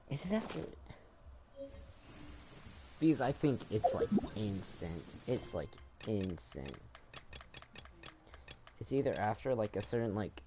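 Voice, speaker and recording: A young man commenting casually on his gameplay. His low voice moves around a lot, and he halts and repeats himself informally. The home mic recording is clearly noisy, with clicks. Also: dark tone. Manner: halting, repetitive, informal. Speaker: gaming streamer.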